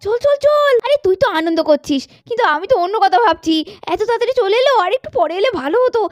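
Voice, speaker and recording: Squeaky-high young household woman, voiced by an actress, fast, chatty, bustling, doll cartoon, faint music. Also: animated, no echo. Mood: hesitant. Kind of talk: children's doll-story cartoon voice acting.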